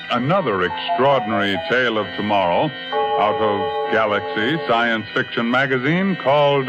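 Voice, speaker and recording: Spooky dramatic old-time radio sci-fi host, super slow delivery, muffled fifties recording. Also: male voice, medium pitch. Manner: dramatic, deliberate. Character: mysterious, theatrical host of science fiction tales. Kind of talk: 1950s radio host announcing. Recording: dull 1950s radio with loud music.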